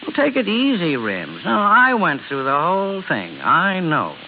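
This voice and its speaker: An actor playing a steady, sympathetic family man in an old radio drama, soothing and reasonable. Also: high-pitched, unhurried, exaggerated voice. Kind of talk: 1930s-40s radio drama acting. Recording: tinny, hissy old radio recording.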